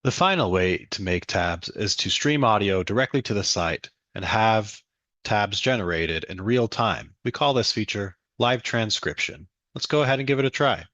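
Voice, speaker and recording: A young man guides the listener through a software demo step by step. His voice is on the low side, and the sound is close and dry with no room echo. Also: expressive, normal speed, muffled recording. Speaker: student demo presenter.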